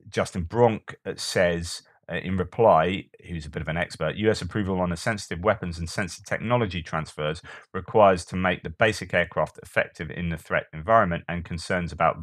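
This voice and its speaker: A man's voice: sits low, dry recording, studio quality. A man commenting on war news in a casual, thinking-aloud way, with frequent fillers and restarts.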